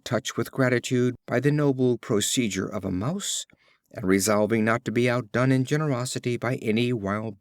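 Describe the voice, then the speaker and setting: A man reading fables aloud as scripted storybook narration, in an expressive, deliberate storytelling manner. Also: mid-range voice, dry recording, clean studio sound. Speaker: audiobook reader.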